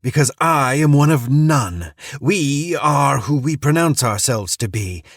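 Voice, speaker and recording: A man's voice: medium pitch, lively intonation, measured pace, no room sound, clean studio sound, pitched above the performer's own voice. A man doing a manic, gleeful cult preacher. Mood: sly. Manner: manic, cackling, mocking sing-song. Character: unhinged male cult preacher, gleeful and menacing.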